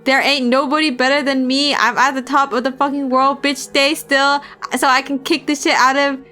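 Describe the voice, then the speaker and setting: A woman's voice: sits high, little pitch movement, normal speed, sharp tone, soft background music, voice pushed up. A woman voicing a pack of vicious young male thugs, snarling and profane in a storybook reading. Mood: gloating.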